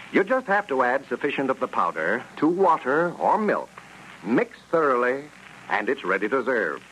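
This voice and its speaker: An American man announcing in polished 1930s radio style, presenting sponsor pitches and story recaps. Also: a bit bright. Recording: noisy old 1930s radio recording.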